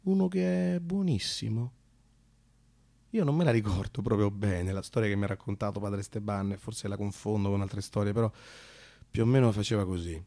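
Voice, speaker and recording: A man performing a conversational autobiographical monologue, halting and repeating himself with dramatic pauses. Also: lowish voice, dark tone, no echo. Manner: halting, broken phrases with dramatic pauses and repetitions. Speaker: actor and narrator of a radio monologue. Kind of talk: theatrical autobiographical storytelling, conversational.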